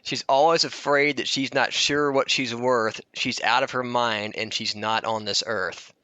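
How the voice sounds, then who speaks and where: A man's voice: mid-range voice, steady tone, a little slow, pushed and bright, clear, resonant tone, no echo, muffled recording. A man co-hosting a podcast with casual, wry, joking banter and some swearing. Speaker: podcast co-host.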